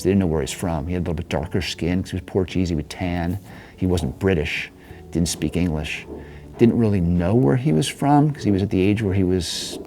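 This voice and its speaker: A man's voice: sits low, warm tone. A man being interviewed, telling a long, rambling story about his neighborhood's history in a casual way. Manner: rambling, restarts, chuckles.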